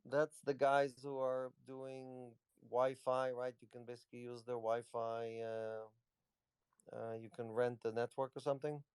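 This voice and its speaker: Smooth-voiced man rambling through answers. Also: mid-range voice, level tone, measured pace, darkish tone, no echo. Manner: rambling, loose, lots of "you know". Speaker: company executive answering questions. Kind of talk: casual Q&A on a live audio chat.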